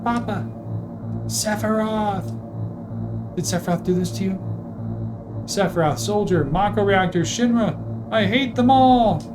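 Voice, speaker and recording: A man acts out a young video game heroine, anguished and angry, pleading with emphasis. The voice is pushed up high, and loud game music plays behind it. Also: a little slow, pauses often. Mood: grieving, furious.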